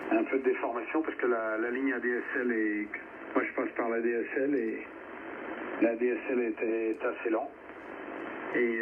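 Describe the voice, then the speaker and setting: A man chatting casually as an amateur radio operator, hesitant and conversational, heard over a ham radio transmission. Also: mid-range voice. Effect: shortwave ham radio transmission. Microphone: radio transmitter microphone heard over receiver. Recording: narrow phone-like radio, clear static noise.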